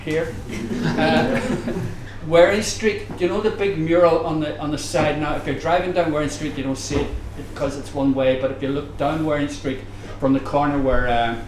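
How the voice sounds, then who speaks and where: Higher-voiced older Northern Irish man, echoey room. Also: steady tone, moderate pace, hardly any pauses. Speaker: panelist, academic. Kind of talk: conversational panel talk, anecdotal. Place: bar venue, live audience discussion. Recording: echoey room, background noise, live event.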